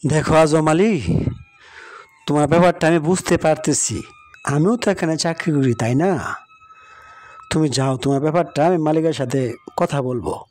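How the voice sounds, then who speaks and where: A man voicing a calm, firm but sympathetic factory supervisor in a cartoon moral story, speaking in a measured, official way. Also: a little high, lightly acted. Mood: reassuring.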